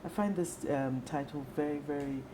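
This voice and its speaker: A Nigerian woman hosting a conversational television interview, speaking hesitantly with pauses in the middle of phrases. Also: deep voice, background noise. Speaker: television talk show host.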